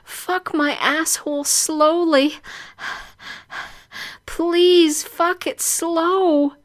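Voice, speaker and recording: Adult superheroine for erotic audio female performer: raised pitch, loud, breathy, gasping and overwrought delivery. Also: steady tone, drawn-out words, full character voice. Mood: pained, protesting. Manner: breathy moaning, crying out, gasped exclamations. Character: bound comic-book superheroine, adult Amazon woman, loud and overwrought. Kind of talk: erotic audio story character acting.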